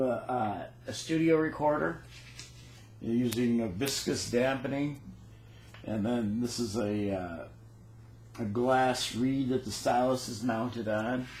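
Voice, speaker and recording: A man casually showing his notes and reading out dates and patent text, with many pauses. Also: medium pitch, reverberant, a little hiss or hum. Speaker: phonograph cylinder maker. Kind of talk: casual show-and-tell walkthrough.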